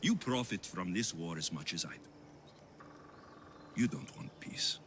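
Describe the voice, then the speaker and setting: A man's voice: low-pitched, animated, unhurried, slight background noise. An actor playing a bitter, volatile young warrior convinced of his destiny in a dramatic video game cutscene, scornful and intense. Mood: mocking, scornful. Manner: scornful, intense, swinging from shouts to menacing whispers. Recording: loud music behind the voice. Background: dramatic music.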